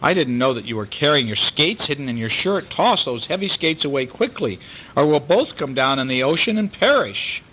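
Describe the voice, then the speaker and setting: A man's voice: a little high, sing-song, moderate pace, bright, forceful tone, pitched above the performer's own voice, heavily acted, low-quality recording. A man voicing a small, anxious female bird who exclaims and gives urgent orders in a storybook reading. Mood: alarmed.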